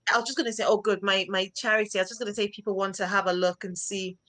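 A woman's voice: mid-range voice, fairly even intonation, rapid-fire, a bit bright. A middle-aged woman with a Nigerian accent, a charity founder speaking earnestly and gratefully as a guest in a casual video chat. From northeastern Nigeria. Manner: earnest, grateful, repeats herself. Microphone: video call.

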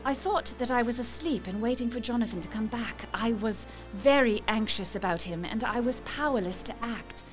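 Actress as high-voiced, melancholy Victorian wife. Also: female voice, lively intonation, faint music behind, lightly acted. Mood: dreamy, uneasy. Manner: wistful, measured, emotive. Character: anxious, melancholy young Victorian wife writing her diary. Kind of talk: audio drama diary monologue.

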